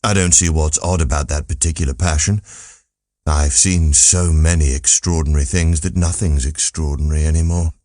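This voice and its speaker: A man playing a world-weary, cynical philosopher in an audiobook, blunt and deadpan. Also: a bass voice, moderate pace, no echo, studio quality, pitched below the performer's own voice. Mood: jaded. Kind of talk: audiobook character voice.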